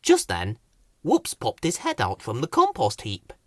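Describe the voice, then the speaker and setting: A man's voice: mid-range voice, wildly swooping pitch, a little slow, darkish tone, dry recording. A man narrating a children's story as an audiobook reader.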